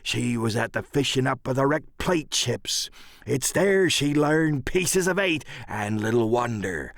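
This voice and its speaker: A man's voice: mid-range voice, animated, measured pace, creaky, dry recording, studio quality. A man playing a sly, folksy old pirate sea cook who drawls in rustic sailor dialect for an audiobook radio play. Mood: fond storytelling.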